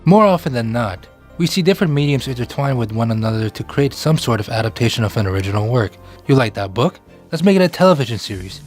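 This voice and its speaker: A man's voice: mid-range voice, lively intonation, fast talker, darkish tone, soft background music. A man hosting a video essay, delivering scripted narration.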